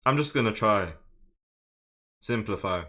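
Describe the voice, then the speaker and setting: A man streaming live chess, talking through his moves in a casual, slangy, excitable way and chatting with viewers. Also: lowish voice, a little slow. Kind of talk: casual live game commentary.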